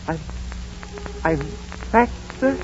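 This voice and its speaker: A humble clerk, very high swoopy voice, eager, old radio drama. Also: male voice, extremely slow, full character voice. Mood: flustered, meek. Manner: excitable, deferential. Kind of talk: 1930s radio acting. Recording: muffled 1930s radio recording over music.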